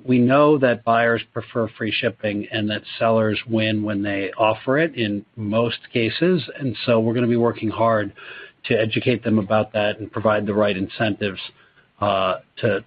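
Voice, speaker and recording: A man speaking as a company executive answering questions on an earnings call, in a measured way with repeated words. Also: medium pitch, no echo. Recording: dull, dry conference call audio.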